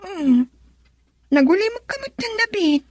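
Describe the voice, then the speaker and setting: A woman's voice: very high voice, very animated, a little slow, a little mellow, no room sound, studio quality, exaggerated voice. An actress with a Filipino accent playing a flirtatious, coaxing lady employer in a radio drama. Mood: coy, teasing. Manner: coaxing, teasing. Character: coy, flirtatious married lady employer of a driver.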